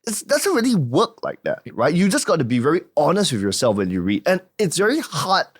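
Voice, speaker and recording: A man hosting a casual podcast, giving his opinions in a measured, explaining way. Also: fairly high pitch, huge pitch swings, breathy edge. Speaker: podcast host and school founder.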